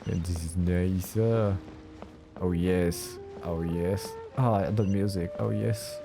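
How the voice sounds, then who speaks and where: A man's voice: deep voice, steady tone, slow, creaky. A man doing casual let's play commentary as host of a game playthrough. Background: game music.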